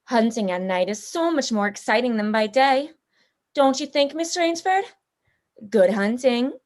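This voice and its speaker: Radio drama villain played by a woman: genteel female general who hunts people, very high voice, grandly polite and toying. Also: expressive, a little slow, a little mellow. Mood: smug courtesy. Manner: pompous, theatrically polite, toying. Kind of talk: radio play acting.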